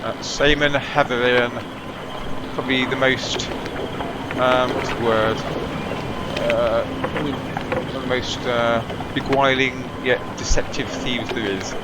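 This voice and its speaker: A man hosting a let's play, giving halting game commentary as he thinks aloud and reads dialogue. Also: medium pitch, fairly even intonation, heavy background noise. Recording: loud game music behind voice.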